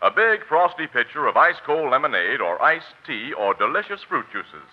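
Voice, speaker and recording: A man's voice: medium pitch, sing-song, moderate pace. An American man announcing and reading sponsor copy in a polished, declamatory 1940s radio style. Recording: muffled vintage radio recording, music behind.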